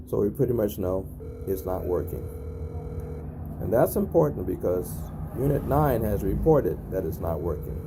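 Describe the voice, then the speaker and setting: A very low-pitched man with a gravelly, fry-heavy voice talks casually to camera as he demonstrates equipment on site. His delivery is matter-of-fact and repetitive, and the handheld recording carries clear ambient noise. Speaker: narrator documenting an intercom test. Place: at a building's entry intercom panel.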